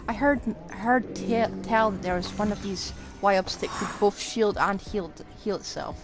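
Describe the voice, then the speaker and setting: A woman's voice: medium pitch, unhurried, hardly any pauses, low-quality recording. A woman giving casual, offhand live commentary while playing a video game. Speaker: game streamer. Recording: game music behind the voice. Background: video game music and combat sounds.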